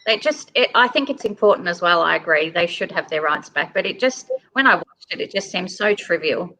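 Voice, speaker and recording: Bright-voiced woman guest in casual discussion. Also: lowish voice, expressive, a little fast, rough recording. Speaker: guest reader.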